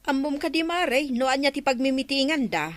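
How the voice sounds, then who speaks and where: Trusting village neighbour, played by a woman: Ilocano accent, fairly high voice, chatty, admiring, dry radio drama sound. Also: brisk, dry recording, lightly acted. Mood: admiring, earnest.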